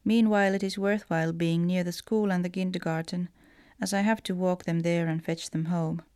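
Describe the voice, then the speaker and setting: A woman's voice: on the low side, fairly even intonation, normal speed, mellow, smooth, no echo, studio quality. A woman from Finland with a Finnish accent, a writer reading personal letters aloud.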